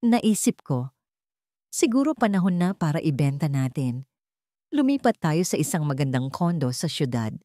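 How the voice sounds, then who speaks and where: A woman's voice: sits low, sing-song, moderate pace, mellow, no room sound, clean studio sound. A woman voicing a smug, controlling, greedy husband in an audio melodrama, with a condescending manner. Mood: self-satisfied.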